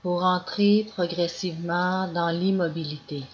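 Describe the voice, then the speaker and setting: A woman guiding a yoga nidra meditation as scripted narration, in calm, measured instructions with long pauses. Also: lowish voice. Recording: dull lo-fi recording with clear background noise.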